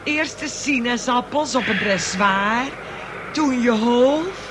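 A woman's voice: fairly high pitch, animated, takes it slowly, over a noisy crowd. An actress playing a fussy, coaxing elderly invalid mother in a radio drama, talking in a homely, wheedling way. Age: older. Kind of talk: Dutch radio drama dialogue.

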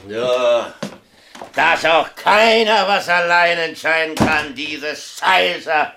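An actor in a 1970s East German radio play, playing a harried but jovial middle-aged local official who talks colloquially and hesitantly, with many tag questions. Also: male voice, a little high, expressive, extremely slow, gravelly, noisy background, full character voice. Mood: irritated.

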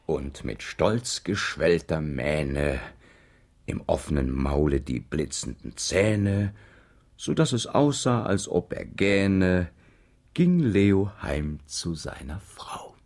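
A theatrical storybook narrator reciting verse for a children's radio play. His low voice is gravelly and swoops expressively as he declaims with playful relish. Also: sing-song, slow, a little mellow, heavily acted. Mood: playful, dramatic. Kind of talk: scripted rhymed narration.

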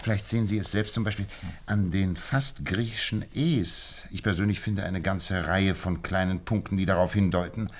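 An actor playing a confident gentleman detective in a German radio play, lecturing precisely and with self-assurance. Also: deep voice, normal speed, slight background noise, lightly acted. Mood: assured, pedantic.

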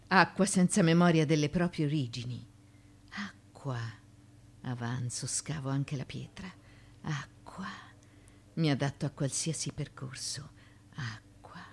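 Slow, low-voiced defiant woman pleading. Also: slight background noise, heavily acted. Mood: hushed, resolute. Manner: declamatory, deliberate, shifting between hushed and forceful. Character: proud, defiant foreign-born former slave and courtesan pleading before judges. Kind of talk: theatrical radio drama monologue.

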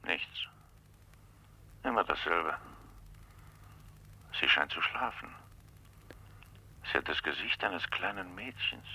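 A concerned man reporting bad news in a radio crime drama. His low voice has a sharp, bright edge and a husky grain. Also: animated, slow pace, long pauses, heavily acted, rough recording. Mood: concerned, subdued.

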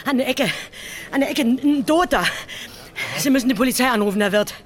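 A woman's voice: sits high, a little fast, close to an everyday voice. Actress as an agitated Berlin witness woman. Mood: agitated, shocked. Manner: stammering, colloquial. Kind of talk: radio crime drama acting.

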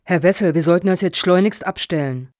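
An actress playing an officious foundation official who takes charge in a satirical radio play, firm and businesslike. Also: female voice, lowish voice, fairly quick, a little mellow, no echo, studio quality, close to an everyday voice.

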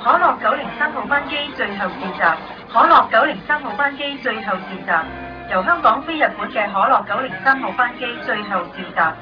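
Woman acting airport announcer, formal, high-toned, radio drama, music behind. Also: lively intonation, fairly quick, close to an everyday voice. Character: formal female airport announcer calling passengers. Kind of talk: public-address flight announcement. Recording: muffled, distant announcement under music.

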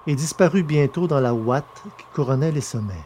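A man narrates a science-fiction story in a measured, descriptive way. A faint background noise sits under his voice. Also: on the high side, warm tone. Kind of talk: scripted narration.

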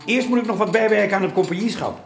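Exacting old-world master painter, unhurried, mildly chiding, higher male voice, echoey. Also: creaky. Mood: instructing. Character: exacting master painter instructing his young apprentice. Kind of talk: Dutch historical radio drama acting. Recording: echoey room, music underneath.

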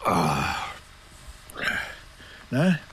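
A diffident settler in a naturalistic radio play, talking slowly and haltingly. He is courteous and deferential, feeling for his words, with some ambient noise around him. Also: deep voice, wildly swooping pitch, fairly bright tone, heavily acted. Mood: eager, explaining. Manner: hesitant, halting, searching for words. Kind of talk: naturalistic German radio drama acting.

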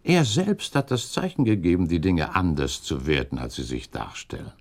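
Deep, gravelly, insinuating count. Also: male voice, huge pitch swings, exaggerated voice. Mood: accusing, pointed. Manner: pointed, courteous, deliberate. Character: shrewd, suspicious count, politely insinuating and provocative. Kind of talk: German radio drama acting, formal literary dialogue.

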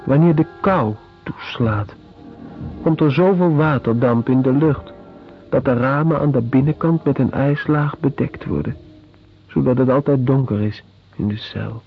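Radio play: weary convict narrator, unhurried and descriptive, muffled sound with faint music. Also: male voice, mid-range voice, creaky. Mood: weary, bleak. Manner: measured, descriptive, deliberate. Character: weary male prisoner recalling squalid penal-colony life. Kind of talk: radio drama monologue, literary narration. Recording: dull radio recording with music.

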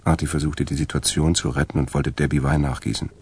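A man narrating a radio crime drama as a detached, dry storyteller with a gravelly, husky voice. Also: extremely low pitch, level tone, fast, exaggerated voice. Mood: detached, calm.

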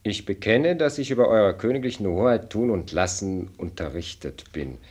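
A man's voice: on the low side, lively intonation, moderate pace, darkish tone, husky. An actor playing an elderly, fatherly minister of state in a radio play, speaking with ceremonious, diplomatic deference. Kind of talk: German radio play acting.

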